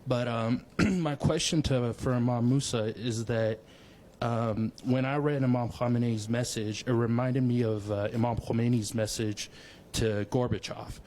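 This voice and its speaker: A man's voice: mid-range voice, fairly even intonation, measured pace, a little mellow. A young man from Dallas, Texas, in the studio audience, politely asking a question with some hesitation.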